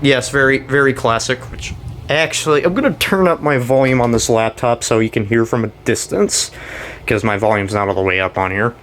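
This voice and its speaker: A young American man giving a casual, off-the-cuff show-and-tell, talking in a halting, rambling way and often correcting himself. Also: mid-range voice, fairly bright tone. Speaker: hobbyist video creator. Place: indoors in a house. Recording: noisy room with air-conditioner hum.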